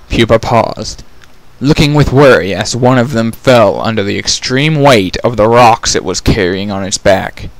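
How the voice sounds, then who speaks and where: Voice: mid-range voice, poor audio. A narrator giving a scripted dramatic reading of a fan fiction story.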